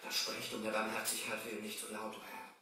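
An actor playing a loyal, humble servant with earnest, theatrical delivery in an old radio play. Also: male voice, lowish voice, completely flat delivery, quick pace, bright, forceful tone, very echoey, distant mic. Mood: hushed horror. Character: loyal, devoted servant of a young merchant. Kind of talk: 1970s German radio play acting.

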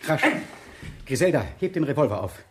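An actor playing a steady, commanding male pastor in a radio crime drama, giving firm clipped orders. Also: fairly high pitch, fairly quick, noisy background, natural voice.

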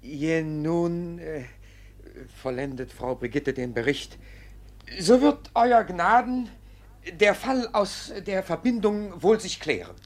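An actor playing a deferential yet sly, precise court clerk, formal and pointed, in a 1950s German radio drama. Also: male voice, on the high side, takes it slowly. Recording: old 1950s radio recording.

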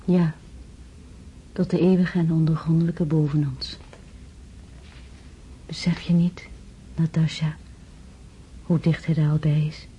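An actress playing a grave, devout woman keeping vigil by the dying, speaking solemnly and in hushed tones in a radio drama. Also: low voice, flat delivery, unhurried, long pauses. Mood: grave resignation. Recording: dull, muffled, noisy old recording.

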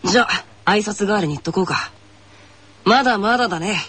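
An actor playing a cool, cocky young teenage tennis player in a scripted anime greeting. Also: male voice, high-pitched, fairly quick, bright, forceful tone, slightly rough, heavily acted.

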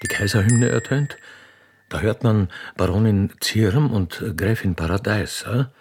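A man's voice: lowish voice, measured pace, darkish tone, breathy edge, faint music behind. A man narrating a radio play in a scripted, dramatic style.

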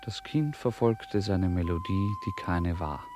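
A male narrator with a low voice reads scripted literary prose. His storytelling is formal and measured, in the style of a classic radio play. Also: lively intonation, music in the background.

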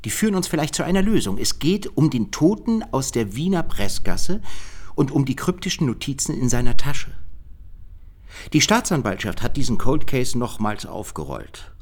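An actor playing a wary, sceptical cold-case investigator in a naturalistic radio drama, questioning in a pensive, dry manner. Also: male voice, medium pitch, big pitch swings, normal speed. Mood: irritated, probing.

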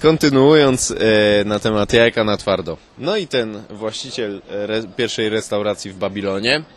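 A man's voice: on the low side, moderate pace. A man improvising a comic history for friends in colloquial, sweary, mock-scholarly talk.

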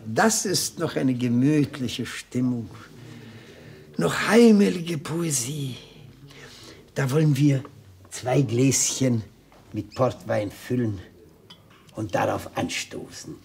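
An aged defence lawyer with a creaky, mid-pitched voice. He is courteous and expansive, a fussy connoisseur who likes to counsel caution, in the manner of classic radio drama. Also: lively intonation, slow, long pauses, a little mellow. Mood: savouring, genial. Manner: courteous, savouring, expansive, admonishing. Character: elderly retired defence lawyer, genial, fussy, cautionary gourmet. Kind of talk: German radio play acting.

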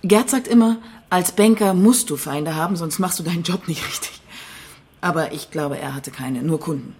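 An actress playing an anxious, evasive middle-aged wife, speaking hesitantly in a crime radio drama. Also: female voice, lowish voice, a little mellow, natural voice. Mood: worried. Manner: hesitant, halting.

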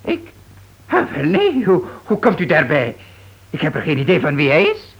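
An actor playing a flustered, defensive husband, stammering and protesting in a radio drama. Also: male voice, on the high side, wildly swooping pitch, normal speed, vocal fry, exaggerated voice. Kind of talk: Dutch radio play acting. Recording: dull old radio recording.

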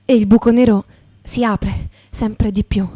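Actress as slow, grave female witness. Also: medium pitch, fairly even intonation, mellow, dry recording, close to an everyday voice. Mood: grave, poetic. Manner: measured, short broken phrases. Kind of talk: poetic radio theatre, spoken and sung.

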